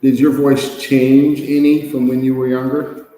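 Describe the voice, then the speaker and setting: A man acting as an English speaking-test examiner, asking measured questions and giving brief acknowledgements. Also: medium pitch, level tone, warm tone. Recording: echoey room with long reverb, faint noise.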